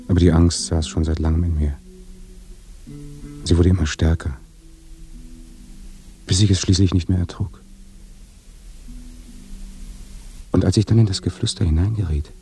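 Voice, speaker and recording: An actor in a radio drama playing a brooding, fearful dead man confessing from his grave, in with a hushed delivery. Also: low voice, creaky. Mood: resigned. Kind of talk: German radio drama monologue, slow confessional acting.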